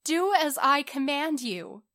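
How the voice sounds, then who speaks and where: A woman plays a noble statue prince from a storybook. The voice is lifted high, earnest and sorrowful, pleading with compassion yet giving commands. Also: a little slow, a bit bright. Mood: imploring. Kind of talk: storybook character voice.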